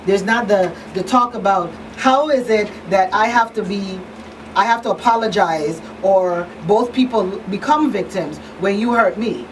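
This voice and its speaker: A woman talk show host chats casually in a medium-pitched voice. She is picked up from a distance, with clear noise around her. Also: measured pace. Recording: distant mic, clearly noisy room.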